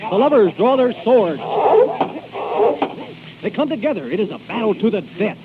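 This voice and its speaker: A man's voice: sits high, very animated, normal speed, heavy background noise. A man playing a melodramatic, mock-serious narrator of a comic opera in 1930s radio style. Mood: mock-dramatic. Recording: tinny 1930s radio broadcast, loud music. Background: loud music.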